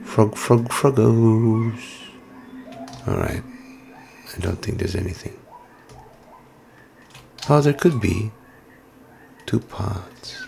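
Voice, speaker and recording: A hoarse man giving casual, musing let's-play commentary with plenty of fillers while he plays. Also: on the low side, lively intonation, very slow, pauses often, a little mellow, faint music behind. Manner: musing, chatty, many fillers. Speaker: game streamer.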